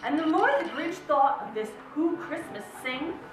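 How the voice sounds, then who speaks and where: Woman reading a children's tale dramatically, animated and emphatic; distant mic in a reverberant room, music behind. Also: high-pitched. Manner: theatrical, emphatic repetition and drawn-out words. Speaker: storyteller.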